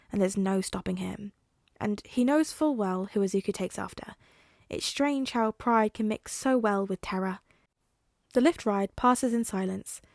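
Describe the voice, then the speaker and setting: A woman reading fan fiction aloud in a gentle, even storytelling manner. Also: medium pitch, no echo, studio quality. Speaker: audiobook reader.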